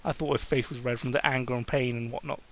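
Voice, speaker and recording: A man's voice: mid-range voice, fairly even intonation, fast talker. A man reading a story aloud as an amateur, in a comedic dramatic style, stumbling over words and breaking off for asides. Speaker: amateur fan fiction reader.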